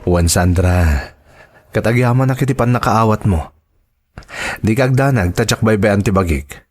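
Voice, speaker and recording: A man's voice: on the low side, normal speed, darkish tone, lightly acted. A man playing a devoted, reassuring husband and father in an Ilocano drama, speaking earnestly. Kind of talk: Ilocano radio-style drama acting.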